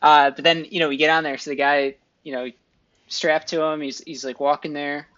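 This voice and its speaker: A man's voice: a little high, little pitch movement, normal speed, fairly bright tone, highs cut off. A man hosting a podcast, chatting casually, laughing often and restarting his sentences. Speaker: podcast host. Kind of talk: casual interview chat.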